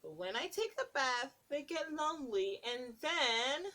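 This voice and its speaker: A woman's voice: high-pitched, animated, a little slow, pushed and bright. A woman preschool teacher reading a picture book aloud to young children, stopping to ask them questions. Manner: sing-song, asks children questions between pages.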